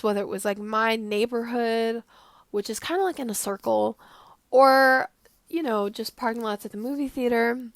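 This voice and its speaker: A teenage girl hosting her own podcast, slightly hoarse from a cold, chatting casually and rambling with lots of fillers. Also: on the high side, a bit bright, dry recording. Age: teens. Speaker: podcast host. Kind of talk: casual solo podcast chat.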